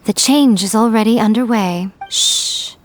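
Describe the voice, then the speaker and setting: A woman's voice: a little high, measured pace, natural voice. A woman playing a poised, sly, teasing fox-spirit shrine maiden in an anime game, speaking with self-assurance. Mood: confident, knowing.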